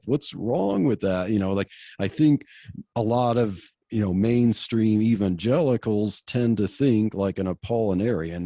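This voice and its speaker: A man's voice: deep voice, dark tone, no echo, studio quality. A man co-hosting a podcast, explaining theology in a casual, eager way with some stammering. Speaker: podcast co-host.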